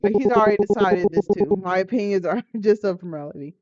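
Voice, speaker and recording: A casual game streamer with a mid-range voice reads a visual novel aloud. The delivery is informal and expressive, slipping into slangy asides. The sound is a little dull, with music playing behind. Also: medium pitch, quick pace, no echo. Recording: muffled audio with music behind.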